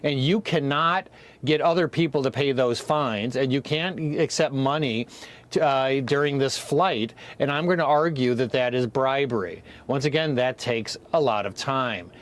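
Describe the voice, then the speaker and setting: A man in a news segment, laying out a political standoff in an explanatory, deliberate way. His voice sits in an ordinary middle register. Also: medium pitch. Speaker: political analyst. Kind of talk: news commentary.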